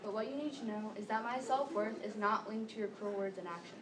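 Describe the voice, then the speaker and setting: A woman's voice: mid-range voice, fairly quick, crowd noise. A young teenage girl reciting scripted monologue lines in a readers theater piece. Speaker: student performer. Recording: echoey room, distant mic, background noise.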